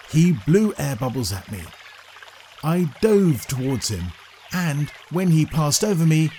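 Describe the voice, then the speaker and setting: A man narrating a scripted children's story in a deliberate, expressive storytelling manner. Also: mid-range voice, a little hiss or hum.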